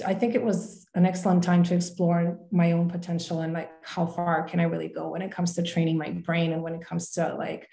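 Young Croatian woman, low relaxed voice. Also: echoey room. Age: young adult. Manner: relaxed and open, with frequent "like" and "um". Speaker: podcast guest. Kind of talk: casual interview chat. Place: home room, video call. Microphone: video call webcam.

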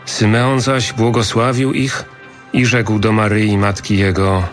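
A man narrates scripture with a solemn, measured delivery. His voice sits fairly low, he takes his time, and music plays clearly behind him. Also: flat delivery.

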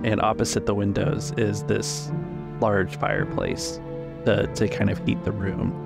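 A man working as game master, improvising narration for a tabletop roleplay with halting, measured phrasing. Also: on the low side, vocal fry. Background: music.

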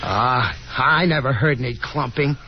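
A man's voice: medium pitch, a little slow, natural voice. An actor playing a friendly, confident young man with a boyish, folksy manner in 1950s radio drama. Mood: friendly, encouraging. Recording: muffled 1950s radio broadcast, hissy noise.

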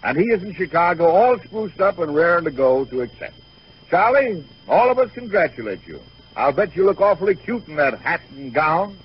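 A man's voice: high-pitched, expressive, normal speed, husky. An American man hosting and announcing in the style of 1930s radio. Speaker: show host and announcer. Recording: muffled, faintly noisy 1930s radio broadcast.

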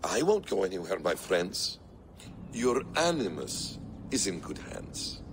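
An actor playing a calm, scheming ancient man who speaks in a measured, quietly menacing way, in a video game cutscene. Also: deep voice, background noise, heavily acted. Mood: composed.

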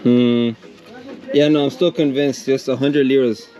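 A man's voice: mid-range voice, steady tone, measured pace, dark tone, soft background music. A man from Miami, a travel vlogger, haggling at a market stall in a playful, teasing way. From Miami, USA. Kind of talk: casual bargaining banter.

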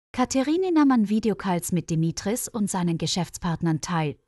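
A woman reads a scripted story for language learners, phrasing each sentence clearly. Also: lowish voice, a little mellow, no echo, clean studio sound. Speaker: narrator of language-learning stories. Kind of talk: scripted narration.

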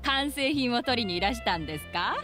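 A woman playing a polite, gently flattering tailor shopkeeper in an anime game, deferential and feminine. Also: a little high, brisk, lightly acted. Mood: playful flattery. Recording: clear background music behind voice.

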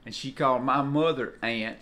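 A man's voice: medium pitch, normal speed, pushed and bright. An older man chatting casually, adding conversational asides. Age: older, about 70s. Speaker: co-host husband. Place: home kitchen, indoors.

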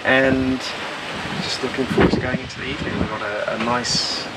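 Casting note: relaxed, candid man in his fifties, mid pitch, talking to an action camera with heavy wind behind. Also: fairly quick. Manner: relaxed, candid, laid-back. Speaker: sailing vlogger. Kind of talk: casual chat on camera. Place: outdoors on a sailboat cockpit. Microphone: camera at arm's length. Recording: loud wind noise. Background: loud wind.

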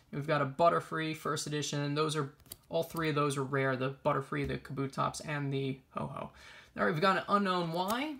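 A man's voice: on the high side, vocal fry, fairly bright tone. A young man giving casual, chatty show-and-tell commentary as an enthusiastic collector hosting a video. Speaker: trading card collector and video host. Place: indoors, small room at a table.

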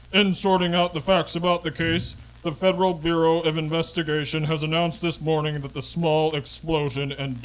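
Man acting higher-voiced 1920s radio newsreader. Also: natural voice, poor audio. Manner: formal, declamatory. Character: grave male 1920 radio newsreader. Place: dark small theater stage.

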